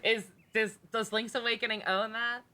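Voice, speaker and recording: A woman's voice: on the high side, flat delivery, normal speed, bright, forceful tone. A woman livestreaming a game, chatting casually with viewers in a sweary, wry, sarcastic way. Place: indoor game streaming setup, game music behind.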